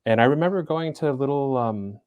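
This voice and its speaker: A man in his forties, a guest in an interview, speaking thoughtfully and hesitantly with frequent fillers and soft laughs. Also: mid-range voice, fairly quick, smooth, no echo, studio quality. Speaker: interview guest, business school center director. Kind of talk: casual interview talk.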